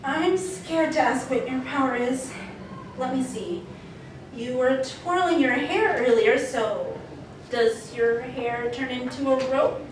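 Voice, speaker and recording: A woman's voice: high voice, takes it slowly, noisy background. A woman playing a bubbly, easily exasperated teacher of young superheroes in a live comedy read. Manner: expressive, patronizing, exaggerated sighing exasperation. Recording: very echoey convention hall, distant mic.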